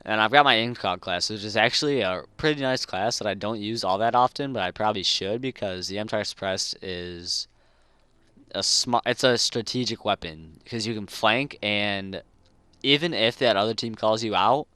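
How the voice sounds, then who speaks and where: A man's voice: fairly low pitch, moderate pace, sharp tone, clear, resonant tone, dry recording. Young man, slurry speech from a dental appliance. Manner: rambling, filler-heavy, informal gamer slang. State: sick. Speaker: competitive gamer and video host. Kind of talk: casual gameplay commentary. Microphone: gaming headset mic.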